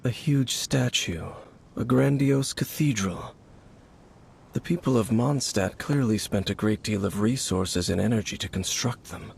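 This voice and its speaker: A man voicing a grave, aloof and mysterious warrior in a video game, speaking in a measured, formal, solemn manner. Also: lowish voice, gravelly, slight background noise. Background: game music.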